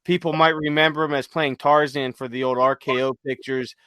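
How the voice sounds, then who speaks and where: A man's voice: a little high, steady tone, brisk, fairly bright tone, no echo. A man co-hosting a podcast, enthusiastically recommending things in a casual chat and repeating phrases for emphasis. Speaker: podcast co-host.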